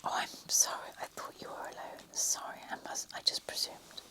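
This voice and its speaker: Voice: moderate pace, natural voice. A reader whispering the lines of a friendly, charming man who is polite and a little awkward.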